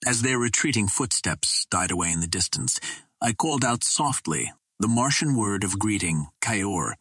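A man's voice: lowish voice, lively intonation, normal speed, fairly bright tone, breathy edge, dry recording. A man reading a first-person adventure novel aloud as a scripted audiobook narration.

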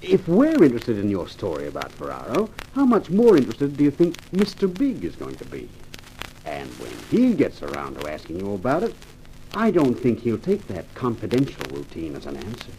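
An actor playing a shrewd, quietly menacing male police chief in a 1950s radio crime drama, dry and sarcastic. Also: mid-range voice, huge pitch swings, normal speed. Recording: slightly noisy vintage radio recording.

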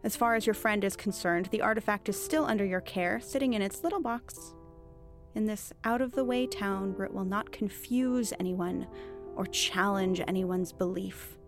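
A woman's voice: mid-range voice, moderate pace, over music, natural voice. An actress playing a cunning, bookish thief posing as a witch in an audio drama, articulate, mock-courteous and teasingly self-assured. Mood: assured, pressing. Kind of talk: audio drama acting, period dialogue.

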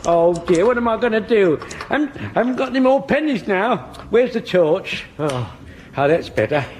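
An actor playing an excitable, muddled old army corporal in a British sitcom, with an English accent and a flustered, deferential, repetitive manner. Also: male voice, high voice, normal speed. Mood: panicky. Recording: noisy old radio comedy recording.

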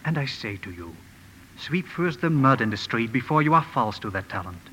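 An actor playing a kindly, earnest professor in a 1950s radio drama, speaking gently and formally with deliberate phrasing. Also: male voice, mid-range voice, very animated, a little hiss or hum. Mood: tender, fervent. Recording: old radio broadcast, reverberant, music behind.

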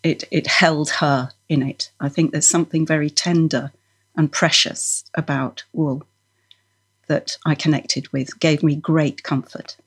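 Slow, low-voiced woman from Norway. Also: fairly even intonation, slightly rough. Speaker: podcast guest, felt maker. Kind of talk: reflective interview conversation.

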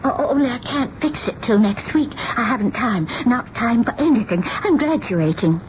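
An actress playing a timid, childlike, reclusive spinster seamstress, hesitant and stammering, in a 1970s radio drama. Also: female voice, on the high side, expressive, normal speed, lightly acted. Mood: anxious, proud. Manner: hesitant, stammering, repeats words. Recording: noisy, dull old radio broadcast.

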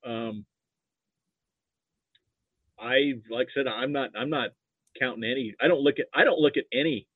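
Over a narrow, phone-like line, an older American man chats about sports. His delivery is halting and he often repeats himself, with a medium pitch and little room sound. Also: husky, no echo. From Nebraska, United States. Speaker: podcast guest, football fan. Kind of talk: casual sports chat.

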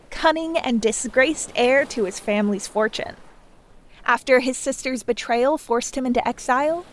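A woman producer giving a friendly, scripted promo narration as a podcast presenter. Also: high voice.

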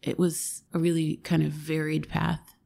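A woman's voice: low voice, flat delivery, unhurried, gravelly, mellow. A woman, a singer, chatting thoughtfully in an interview, often restarting her sentences. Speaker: singer and guest. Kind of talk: casual interview chat.

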